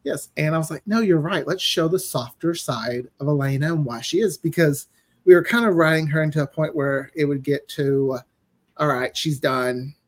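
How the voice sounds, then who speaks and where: Higher-pitched man chatting as a guest. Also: moderate pace. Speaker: podcast guest, audio drama writer. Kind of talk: casual interview chat.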